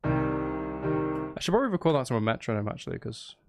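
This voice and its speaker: A man's voice: medium pitch, very animated, brisk, vocal fry, soft background music. A man chatting casually on a livestream as he composes, thinking aloud in an apologetic, self-interrupting way. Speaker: live streamer and voice performer. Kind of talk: casual livestream chat while composing music.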